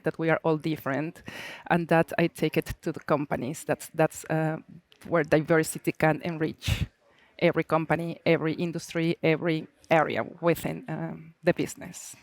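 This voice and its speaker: A middle-aged woman from Mexico City with a Mexican accent, speaking on a conference panel with many pauses and fillers. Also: sits low, no echo. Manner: hesitant, many fillers, warm and open. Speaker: panelist, supply chain manager. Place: conference stage.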